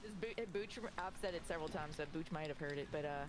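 A woman's voice: low voice, fast talker, crowd noise. A woman in her forties chatting casually and telling stories as she games, animatedly acting out remembered dialogue. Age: 40s. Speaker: game streamer. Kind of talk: casual storytelling chat while gaming. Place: indoor home streaming room. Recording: clear background game noise.

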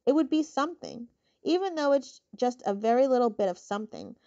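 Lonely, pleading young pig from a storybook, voiced by a woman; a bit higher than her own voice, lo-fi sound. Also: animated, brisk, warm tone. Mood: plaintive. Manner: plaintive, earnest.

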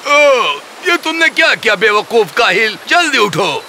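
A man's voice: high voice, sing-song, bright, forceful tone, slight background noise, exaggerated voice. A man playing a hard-working, scolding merchant in a cartoon fairy tale, in a theatrical, exclamatory way. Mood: angry, suspicious. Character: hard-working salt merchant, scolding his lazy donkey.

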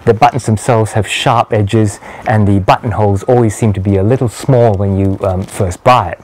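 A man in his sixties giving a casual product review to camera in a measured, understated, conversational way. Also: on the low side, a little mellow. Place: small walled garden patio, outdoors. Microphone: lapel mic.

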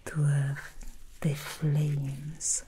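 A woman voicing an alluring female demon hairstylist in a whispered ASMR roleplay, speaking in a breathy whisper close to the microphone with slow teasing hums between lines. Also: sits low, monotone, warm tone, slight background noise. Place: small dressed studio set indoors. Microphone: close sensitive microphone.

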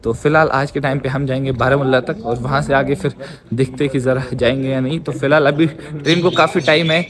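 A man's voice: medium pitch, fairly even intonation, a little fast, crowd noise. Young man chatting through a station tour. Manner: chatty, guiding viewers step by step. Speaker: travel vlogger. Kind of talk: walking tour narration. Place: outdoors at a railway station.

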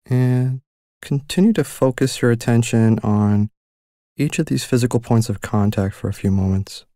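A man leading a guided mindfulness meditation with slow, gentle and soothing instructions. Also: mid-range voice, no room sound, clean studio sound.

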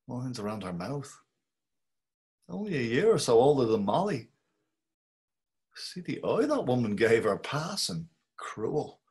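A man's voice: mid-range voice, a little mellow. A middle-aged actor playing a wry, musing Dublin man, reading over a video call. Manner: musing inner monologue, slow and measured. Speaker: actor reading a male lead. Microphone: webcam on a video call.

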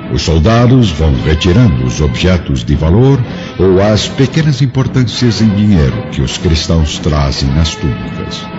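A man with a Brazilian accent giving scripted dramatic narration for an audiobook. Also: low-pitched. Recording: muffled audio under loud music.

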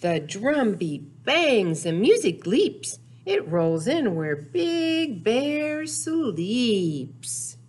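A woman's voice: on the high side, very animated, extremely slow, hardly any pauses, darkish tone, breathy edge. An older woman presenting storytime for small children, talking and reading aloud in short, simple phrases with playful sound words. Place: small indoor room, seen seated before a wall.

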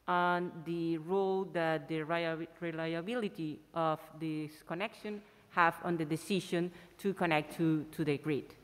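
A woman with a slightly low voice chairs a conference panel, introducing the next talk. She speaks briskly, with a few hesitant fillers as she goes. Her voice rings with the long reverb of a large hall. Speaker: session chair.

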